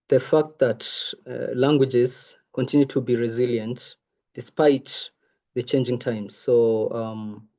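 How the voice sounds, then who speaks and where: Young Kenyan man, Maasai first language, mellow voice, earnest presenter, dull call audio. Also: mid-range voice. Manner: earnest, many ums. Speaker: intern presenter. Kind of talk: online presentation. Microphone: video call. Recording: muffled video call audio.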